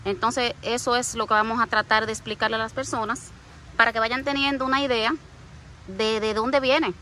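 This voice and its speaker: A woman hosting a casual interview, lively, teasing, and speaking straight to the viewers. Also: on the high side, moderate pace, a little hiss or hum, low-quality recording. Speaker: interviewer, host.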